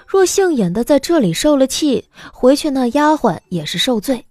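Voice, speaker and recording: Female storyteller for scripted drama narration, fairly high pitch, expressive and varied delivery. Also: moderate pace, no echo, clean studio sound, soft background music.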